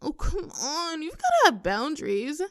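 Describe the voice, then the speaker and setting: Woman doing a clingy teasing young girlfriend for roleplay audio, kinda high voice, giggly n coaxing, super dry close sound. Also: wildly swooping pitch, moderate pace, no room sound. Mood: playful, mock insistent. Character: playful, clingy, affectionate young girlfriend teasing her partner. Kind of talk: intimate girlfriend roleplay audio, conversational acting.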